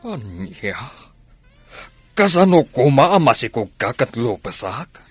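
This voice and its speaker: An actor with an Ilocano accent playing a hot-tempered, suspicious sugar daddy in a radio drama, drawing out scornful words. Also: male voice, medium pitch, big pitch swings, slow, husky, dry recording, soft background music, heavily acted. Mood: angry.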